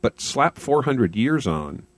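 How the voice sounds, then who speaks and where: A man from Canada in casual conversation, animated and often stumbling over or repeating his words. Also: mid-range voice, takes it slowly, dry recording.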